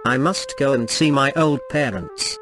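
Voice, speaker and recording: A man's voice: medium pitch, measured pace, a bit bright, faint music behind, pitched below the performer's own voice, natural voice. A man voicing a dutiful young fisherman who speaks in a formal, polite and anxious way. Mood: earnest. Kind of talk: storybook reading.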